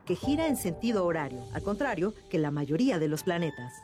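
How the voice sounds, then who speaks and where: Lively low-voiced female news reader. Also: brisk. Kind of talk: scripted narration. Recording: music bed under voice.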